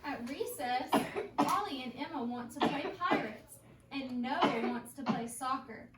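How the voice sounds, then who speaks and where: A woman's voice: sits high, measured pace. A woman teacher reading a storybook aloud to young children, emphatically, stopping to ask questions and repeat their answers. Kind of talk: interactive storybook read-aloud. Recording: roomy echo, distant mic, background noise.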